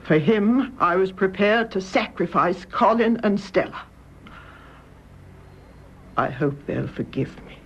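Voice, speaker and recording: A woman's voice: medium pitch, animated, slow, pauses often, husky, full character voice. Genteel older British mother, muffled. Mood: fervent. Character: calm, devoted elderly mother, frank and unrepentant. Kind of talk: radio drama acting. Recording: dull, noisy radio drama recording.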